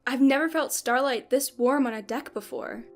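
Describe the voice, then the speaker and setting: Female actor as candid space investigator, bright-pitched, teasing and inquisitive, music bed. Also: moderate pace. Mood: curious, teasing. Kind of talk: amateur sci-fi audio play acting. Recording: music under the voice.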